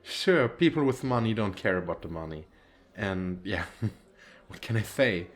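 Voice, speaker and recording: A man's voice: lowish voice, expressive, measured pace. A man acting a shy, nervous, wealthy businessman on a speed date in an ASMR roleplay, talking in a hesitant, rambling, self-deprecating way. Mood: sincere, self-conscious. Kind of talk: ASMR roleplay, intimate flirty chat.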